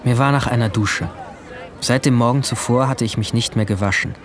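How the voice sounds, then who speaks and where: A man's voice: mid-range voice, fairly quick, a faint crowd, slight background noise, natural voice. A man playing a troubled, brooding seventeen-year-old boy who narrates his own story introspectively in a radio drama. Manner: introspective, literary. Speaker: narrator and lead character.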